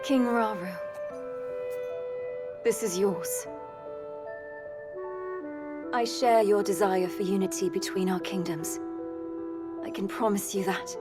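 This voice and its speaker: An actress playing a dignified, determined young warrior princess in a game cutscene, with formal, earnest delivery. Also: female voice, mid-range voice, fairly even intonation, slow, pauses often, loud music behind the voice, natural voice. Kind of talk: video game cutscene acting.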